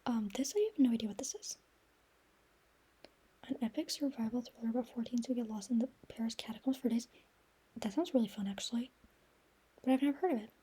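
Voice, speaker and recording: High-voiced woman, soft and whispery. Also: brisk, pauses often, breathy edge. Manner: soft, often whispery, gushing. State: losing her voice, getting sick. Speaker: book vlogger. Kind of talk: casual chat, reviewing books.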